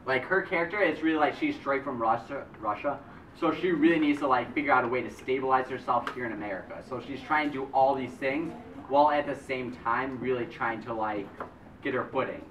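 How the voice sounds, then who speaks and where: A man's voice: medium pitch, a little fast, a bit bright, a little hiss or hum. A man from the cast, eagerly adding to the answers and piling on with "like.". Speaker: cast member. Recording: echoey room from far off.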